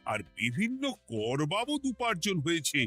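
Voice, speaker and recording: A man's voice: fairly high pitch, sing-song, moderate pace, a bit bright, husky, soft background music, exaggerated voice. A man voicing a busy, kindly but authoritative king in a cartoon, with theatrical, emphatic delivery. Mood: businesslike. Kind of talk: animated cartoon voice acting.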